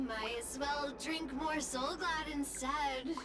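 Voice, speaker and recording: A woman's voice: high voice, loud music behind the voice. An actress playing an enigmatic, dreamily amused woman in a video game, with a theatrical way of talking. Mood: amused, dreamy. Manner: slow, theatrical. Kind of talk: video game character dialogue. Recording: loud ambient noise behind voice.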